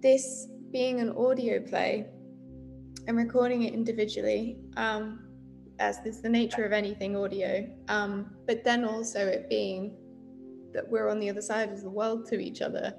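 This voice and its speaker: A woman's voice: mid-range voice, level tone, normal speed, creaky, darkish tone, faint music behind. A woman in her twenties chatting expressively and ramblingly in an interview over a video call. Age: 20s. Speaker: actor being interviewed. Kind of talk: casual interview chat. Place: indoor room, video call.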